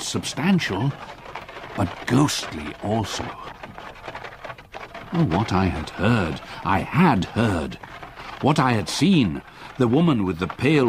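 An actor playing a haunted older gentleman, narrating his memories gravely and deliberately in a radio drama. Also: medium pitch, a little hiss or hum. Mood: haunted, insistent. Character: haunted older English solicitor recalling terror.

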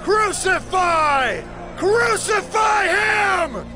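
Mob accuser: very high shouts, loud crowd behind. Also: male voice, expressive, loud music behind the voice, full character voice. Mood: furious. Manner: shouting, drawn-out. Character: hostile accusers in an angry mob.

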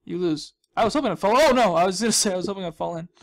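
A man's voice: high-pitched, very fast. A young man giving casual, rambling commentary as he hosts a gaming video, with a goofy, self-deprecating, mock-dramatic manner.

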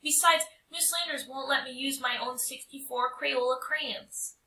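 A woman's voice: high voice, a little slow, bright, forceful tone, pitched above the performer's own voice, exaggerated voice. A woman voicing an earnest, indignant little boy from a storybook, speaking insistently and emphatically. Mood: defiant. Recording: roomy echo, distant mic.

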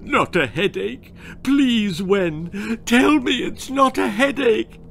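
A man voicing a big, soft-hearted father who weeps and gushes over his daughter. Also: extremely high pitch, animated, slow, creaky, a little hiss or hum, voice pushed up, full character voice. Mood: panicked. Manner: weeping, gushing.

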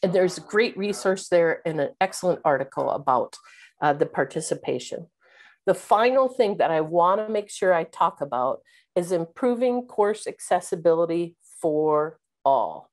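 Middle-aged woman presenter, slightly low voice, webcam talk, coaching tone with ums. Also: expressive, normal speed, a little mellow. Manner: instructive, fillers like um and uh, asks "right?". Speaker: keynote speaker on teaching. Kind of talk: webinar presentation. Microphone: video call webcam.

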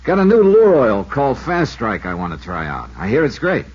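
A man's voice: medium pitch, huge pitch swings, normal speed, darkish tone, breathy edge. An actor playing a breezy, wisecracking male insurance investigator who narrates his own case in a 1950s radio drama. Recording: dull 1950s radio recording with music.

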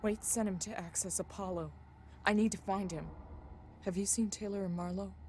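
A woman's voice: mid-range voice, fairly even intonation, normal speed, a little mellow, a little hiss or hum, natural voice. A woman playing a determined, commanding survivor in a video game, speaking in a terse, urgent way. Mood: firm, urgent.

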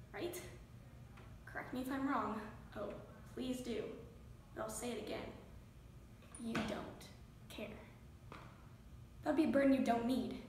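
A woman's voice: fairly high pitch, close to an everyday voice. A woman performing a dramatic monologue as a frightened, defiant young psychiatric patient, intense and accusatory. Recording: very echoey room, distant mic, faint noise.

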